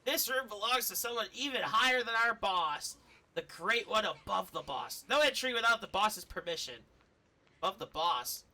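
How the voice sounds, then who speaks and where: A woman streaming a game, giving expressive, casual commentary and reading dialogue aloud, sometimes swearing. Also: mid-range voice, normal speed. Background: faint video game music.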